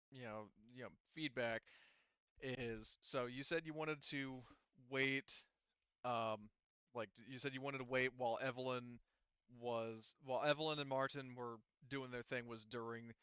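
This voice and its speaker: A man runs a casual tabletop roleplaying game as game master. His voice is crisp and forward, with a halting way of talking as he confirms details. The sound is dry and a little muffled. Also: medium pitch, a bit bright, no room sound. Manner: halting, checking details back with players.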